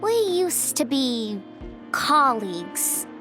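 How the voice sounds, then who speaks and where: Guarded young woman, high-voiced and expressive. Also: drawn-out words, exaggerated voice. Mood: wistful. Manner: casual, wry, dismissive. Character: guarded, pragmatic young woman with a soft heart. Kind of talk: video game voice acting. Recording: studio voice over game music. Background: background music.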